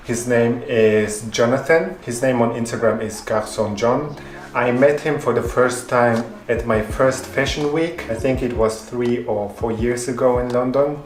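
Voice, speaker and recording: A man in his twenties, a native Spanish speaker raised in Germany, reading out fan questions and answering them calmly in a vlog. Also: medium pitch, a little slow, roomy sound. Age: 20s. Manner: reads each question aloud, then answers in a calm, even way. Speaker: fashion YouTuber. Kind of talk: Q&A vlog narration.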